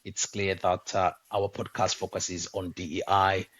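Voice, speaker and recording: Host: South African man, low bright voice, dry home sound, chatty with lots of fillers. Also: flat delivery, unhurried, husky, no echo. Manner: chatty, many fillers and restarts. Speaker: podcast host. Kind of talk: casual video-call interview. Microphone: video call.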